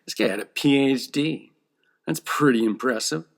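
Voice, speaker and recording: A man's voice: mid-range voice, moderate pace, gravelly. A man who draws comic books, talking in a casual, rambling monologue that often checks in with "okay?". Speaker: comic book artist.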